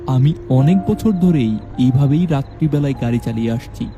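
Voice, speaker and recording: A man narrating a scripted first-person horror story in a steady, measured way. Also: medium pitch, lo-fi sound, faint music behind.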